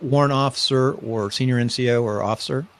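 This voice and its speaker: A man's voice: mid-range voice, fairly quick. A middle-aged man hosting a casual live-stream interview, chatty and rambling, often restarting his sentences. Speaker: host.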